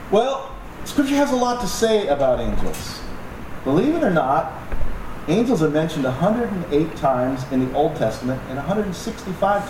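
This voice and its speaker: A man preaching a sermon to a congregation in a conversational, joking way with asides to the audience. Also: sits high. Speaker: pastor. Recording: echoey room, distant mic, noisy.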